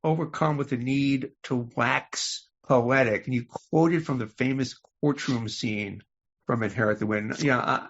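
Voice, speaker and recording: Male interviewer, medium pitch, measured and conversational, prompting a guest on a slightly lo-fi podcast recording. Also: a bit bright. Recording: slightly dull, lo-fi audio.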